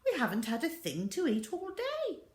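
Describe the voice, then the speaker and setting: A woman's voice: high voice, wildly swooping pitch, moderate pace, a little mellow, echoey room, pitched above the performer's own voice. A woman voicing a tiny fussy storybook mother, wailing and dramatic. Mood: anxious. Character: tiny fussy, distraught mother, Missus Gregg.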